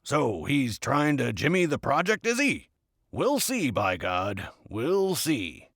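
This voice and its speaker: A man voicing a gruff, short-tempered military general who barks curt threats in an audiobook story. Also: medium pitch, wildly swooping pitch, slow pace, fairly bright tone, dry recording, clean studio sound, exaggerated voice. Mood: suspicious, commanding. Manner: barking, curt, threatening, swearing. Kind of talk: scripted audiobook character dialogue.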